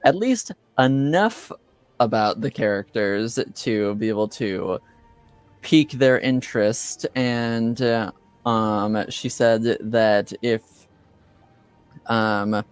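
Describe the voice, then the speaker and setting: A man with a fairly low voice acts as game master for a tabletop game. He recaps the story slowly and haltingly, leaving long thoughtful pauses. Faint music plays behind him. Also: clear, resonant tone, dry recording.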